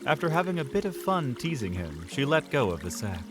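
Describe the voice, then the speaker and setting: A man's voice: medium pitch, big pitch swings, fairly quick. A man narrating a fantasy audio drama in a scripted, dramatic storytelling style. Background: music under the voice.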